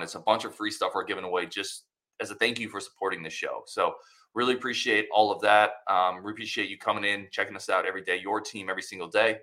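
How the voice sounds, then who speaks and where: Guy with a cold, gravelly hoarse voice, low but bright, chatting sports on his podcast. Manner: relaxed, conversational, reads ads loosely with fillers. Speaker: podcast host. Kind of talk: casual sports podcast chat.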